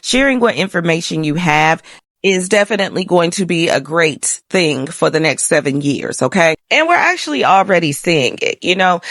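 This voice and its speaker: A woman's voice: fairly low pitch, fairly bright tone, no room sound, studio quality. An American woman hosting an astrology monologue, talking to viewers in a colloquial, emphatic, conversational way with frequent check-in tags. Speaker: astrology host. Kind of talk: casual explanatory monologue to viewers.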